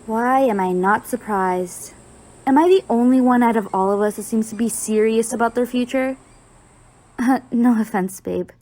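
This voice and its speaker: A woman's voice: on the high side, moderate pace, mellow, lightly acted. A woman playing a blunt, teasing young college student with a dry, bossy manner in an audio roleplay. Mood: dry, playful.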